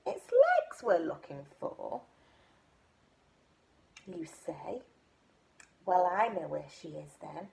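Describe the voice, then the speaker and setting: A woman's voice: lowish voice, huge pitch swings, slow pace, creaky, pauses often, darkish tone, pitched below the performer's own voice, exaggerated voice. Woman doing an eager storybook butterfly. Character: eager helpful butterfly guide. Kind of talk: storybook read-aloud.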